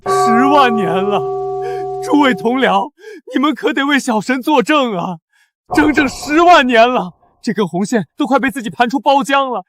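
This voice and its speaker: An actor playing an old male matchmaker deity who speaks in a theatrical, drawn-out way. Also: very high voice, a bit bright, dry recording. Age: older. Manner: theatrical, drawn-out, self-pitying. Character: old male matchmaker god, fussy and relieved.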